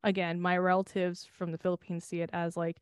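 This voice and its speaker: A woman's voice: fairly low pitch, flat delivery, fairly quick, smooth, dry recording. Candid young woman, dry close sound. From United States. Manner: candid and rambling, swearing and laughing often. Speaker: podcast co-host. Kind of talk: casual chat.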